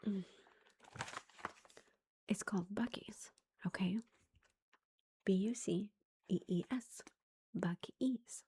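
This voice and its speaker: A woman in her thirties, a mother, telling a story in a soft whisper, chatty and amused. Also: mid-range voice, fairly even intonation, takes it slowly, pauses often, slight background noise.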